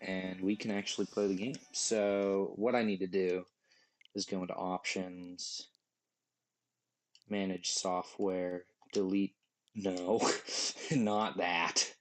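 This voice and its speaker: A man with a hoarse, worn-out voice, rambling goofily through a casual live stream while he plays a game. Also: sits low, level tone, slow, long pauses. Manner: rambling, goofy, jokey asides. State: hoarse, losing his voice.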